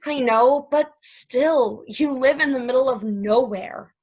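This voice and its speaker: A woman's voice: high-pitched, slow, a little mellow, rough recording. A woman acting a playful, impatient young mistress of the 1950s at a stage play table reading. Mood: mock indignant. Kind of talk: stage play table read, romantic dialogue.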